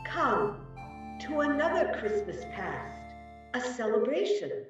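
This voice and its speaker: A woman's voice: on the high side, sing-song, slow. A woman playing a solemn, unearthly spirit in a dramatic reading, stately and commanding. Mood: knowing. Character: solemn, unearthly, childlike yet old female spirit. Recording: very echoey, distant, muffled, music behind.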